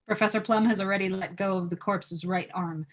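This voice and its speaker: A woman's voice: mid-range voice, steady tone, brisk. A woman reading stage directions as narrator in a live table read of a script.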